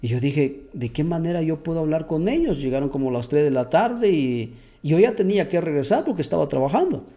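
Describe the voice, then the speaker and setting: Earnest preacher guy, high swingy voice, kinda soft and dark in tone. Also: animated, brisk, hardly any pauses. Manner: earnest, repeats words when searching. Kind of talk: testimony and bible teaching.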